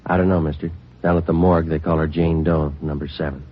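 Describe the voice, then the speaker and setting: A man's voice: very deep voice, flat delivery, fast. An actor playing a terse male police detective who narrates the case in a clipped, matter-of-fact way, in a 1950s radio drama. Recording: dull 1950s radio broadcast with music.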